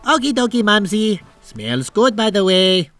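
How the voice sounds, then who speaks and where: A man's voice: sits high, very animated, unhurried, fairly bright tone, no echo, soft background music, heavily acted. A man playing an upbeat, peacemaking young adult son in a cartoon comic dub, chipper, casual and reassuring.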